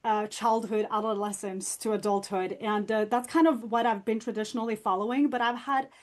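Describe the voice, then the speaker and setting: A young woman hosts a relaxed podcast chat. Her voice sits a little high. She talks openly and loosely, with plenty of fillers. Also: a little fast. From Norway. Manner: chatty and open, frequent fillers, light laughs. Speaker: podcast host. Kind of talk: casual podcast conversation.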